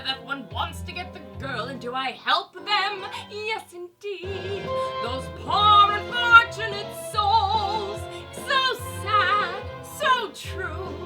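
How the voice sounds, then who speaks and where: A woman's voice: very high voice, distant mic, heavily acted, rough recording. A woman performing a scheming sea witch in a musical theatre villain song, theatrical and falsely repentant. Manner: theatrical, mock-pious.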